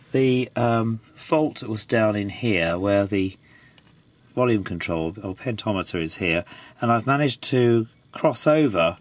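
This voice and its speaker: Croaky man with a head cold. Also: fairly low pitch. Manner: rambling, many fillers and restarts. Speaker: electronics hobbyist vlogger. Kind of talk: casual repair vlog. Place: home garage workshop, indoors.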